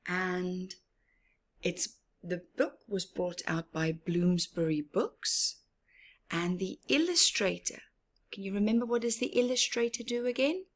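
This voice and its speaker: A woman from Africa reads a story aloud for small children. She goes very slowly, stressing words and explaining them like a teacher. Her middle-pitched voice is breathy and often sinks to a near-whisper. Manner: teacherly, emphatic, asking questions and explaining words. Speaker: children's storytime host and reader.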